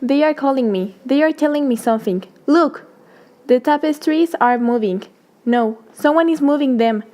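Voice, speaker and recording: Actress as feverish haunted wife, high voice, soft dark tone, fearful and insistent, gothic radio play. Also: female voice, moderate pace, close to an everyday voice. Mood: frightened, pleading. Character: feverish, frightened young wife who hears phantom voices. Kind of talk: gothic radio drama acting.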